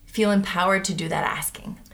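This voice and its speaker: A woman in her thirties co-hosting a casual talk show, animated and full of questions. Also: mid-range voice, fairly quick, vocal fry. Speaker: podcast co-host. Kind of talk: casual conversational chat.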